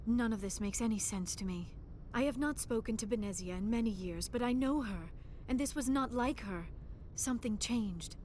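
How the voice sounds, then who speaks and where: A woman's voice: a little high, moderate pace, a little mellow, a little hiss or hum, close to an everyday voice. An actress voicing a young alien woman scientist in a video game, shy and earnest, with formal, precise diction. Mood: troubled. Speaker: archaeologist crew member.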